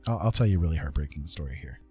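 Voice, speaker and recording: A man's voice: very deep voice, expressive, very fast, mellow, slightly rough, soft background music. A man hosting a livestream, chatting casually and personably to viewers in a rambling way. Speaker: livestream host.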